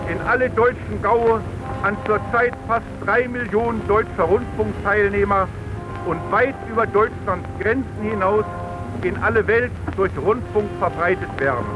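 A pompous male dignitary of the 1920s gives a ceremonial address in a radio play. His high voice declaims solemnly while very loud music plays behind. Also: low-quality recording. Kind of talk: formal public oratory in radio drama.

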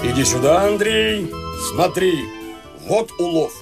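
Loud bragging country dad in a radio play, high voice, swoops all over, music behind. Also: male voice, animated, takes it slowly, heavily acted. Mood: boastful, excited. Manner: talkative, insistent, exclamatory. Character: boisterous, bragging country brother, a middle-aged father. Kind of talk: Russian radio play acting.

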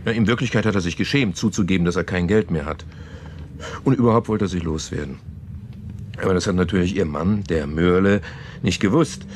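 An actor plays a man telling a story in a radio play, with a fairly low voice. He is earnest and patient, hedging and halting as he goes, in a natural conversational style. There is some noise on the recording. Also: a little mellow, natural voice. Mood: earnest storytelling. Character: man haltingly retelling a novel to a sceptical woman.

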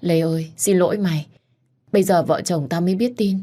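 A woman's voice: fairly low pitch, a little fast, dark tone, pitched above the performer's own voice, lightly acted. A woman voicing a distressed young female friend, apologetic and urgent, in a narrated story. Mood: anxious apology.

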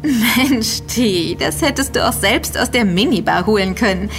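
An actress playing a young, impatient, sardonic and quick-witted woman in an audio drama, teasing her friends dryly. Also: fairly high pitch, animated, faint music behind. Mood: wry, knowing. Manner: teasing, dry sarcasm. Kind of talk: German audio drama dialogue.

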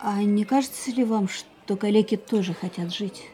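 An actress playing a pensive female sanatorium patient in a radio play, narrating with measured, deliberate literary phrasing. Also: medium pitch, warm tone, lightly acted. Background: piano music.

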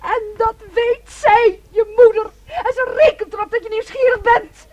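Defiant teenage daughter, very high voice, bitter and dramatic radio drama delivery. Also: female voice, steady tone, moderate pace, highs cut off, heavy background noise. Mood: anguished, tearful. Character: defiant, jealous teenage daughter clinging to her brother. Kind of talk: radio play acting.

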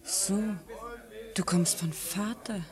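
A woman in a radio play, playing an artist's serious, skeptical daughter, with a measured, hesitant and earnest manner. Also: medium pitch, fairly even intonation, crowd noise, lightly acted. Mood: probing. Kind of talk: German radio play acting.

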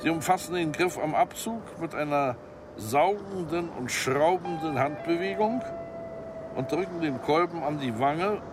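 A man's voice: fairly high pitch, unhurried, noisy background, natural voice. An actor in a radio play voicing a businesslike male arms expert and professional killer, methodical and formally polite in his instructions. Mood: calm, instructing.

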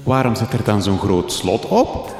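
A man's voice: on the low side, a little slow, a little mellow. An actor playing a naive, boyishly curious and enthusiastic male reporter in a gothic horror comedy radio play. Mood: naive curiosity. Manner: innocent, inquisitive, boyish. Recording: echoey reverb, music behind.

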